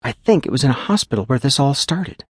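A woman's voice: extremely low pitch, animated, quick pace, husky, dry recording, clean studio sound, pitched above the performer's own voice, full character voice. A man voicing a weak, sleepy woman who has just learned she is dead, her speech faint and wheezy. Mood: resolute. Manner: faint, wheezy, struggling for breath.

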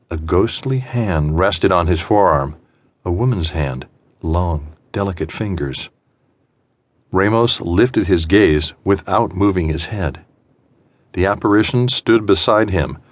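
A man narrating suspense fiction as an audiobook, with measured, deliberate phrasing and dramatic pauses. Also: low voice.

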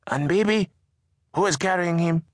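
A man voicing a fierce, toothless mother in a slum shack, scolding in a high, sharp voice. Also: moderate pace, no room sound, clean studio sound, close to an everyday voice.

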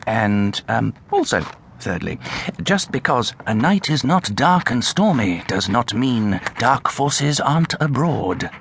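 A fussy, bookish British angel, swooping intonation. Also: male voice, medium pitch, big pitch swings, slow, a bit bright, slight background noise, exaggerated voice. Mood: pedantic, wry. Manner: pedantic, hesitant, wry lecturing delivery. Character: bookish, fussy, donnish angel and part-time book dealer. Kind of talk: radio drama narration.

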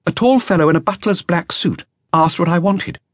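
A man's voice: sits high, normal speed, no room sound, clean studio sound, voice pushed up, natural voice. A man doing a jittery young flautist. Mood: anxious. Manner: anxious, breathless, earnest. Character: nervous young male flautist witness.

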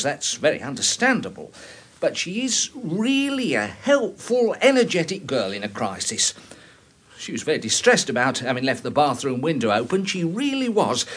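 A man's voice: a little high, big pitch swings, normal speed, fairly bright tone, slightly rough, full character voice. An actor playing a timid, fussy, deferential Englishman in a radio drama, stammering and obsequious, with an English accent. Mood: shaken, prim. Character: timid, deferential, fussy little lower-middle-class Englishman. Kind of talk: full-cast BBC radio drama acting.

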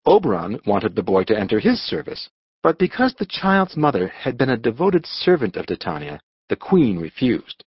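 A man reads scripted storybook narration expressively. His voice is husky and moves with lively intonation. The recording is dry and slightly dull. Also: medium pitch, dry recording. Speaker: audiobook narrator.

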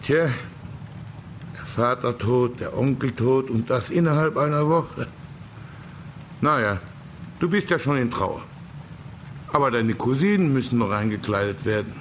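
An actor playing a bluff, well-to-do middle-aged uncle in a German radio drama. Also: male voice, medium pitch, expressive, measured pace, long pauses, a little hiss or hum, lightly acted. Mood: matter-of-fact, condoling.

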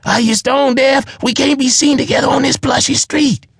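A man voicing a small, shifty dwarf crook with a wheezing voice, wheedling and shrill. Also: lively intonation, measured pace, a little mellow, breathy edge, no room sound, studio quality. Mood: screaming panic. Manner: wheedling, then shrill and panicky.

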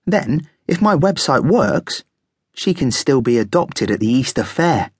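Storybook male guinea pig, acted by a narrator, raised pitch, eager, reasoning, hopeful, earnest. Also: sing-song, measured pace, breathy edge, no echo, studio quality.

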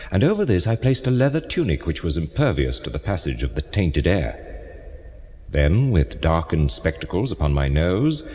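A solemn renaissance seer in a radio play, formal and oracular, swooping delivery, big cavernous reverb. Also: male voice, deep voice, a little mellow. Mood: grim, vivid. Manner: grave, oracular, formal archaic phrasing. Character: solemn French Renaissance prophet and physician recounting his life. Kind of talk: dramatised audiobook monologue, theatrical declamation.

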